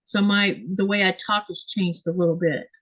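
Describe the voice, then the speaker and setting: A woman's voice: medium pitch, steady tone, moderate pace, a bit bright, no echo, low-quality recording. A woman with a Southern US accent, the host, chatting casually while she sews. She rambles, corrects herself and uses lots of fillers. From Florida, US. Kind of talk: casual chat while sewing, reading comments. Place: home craft room.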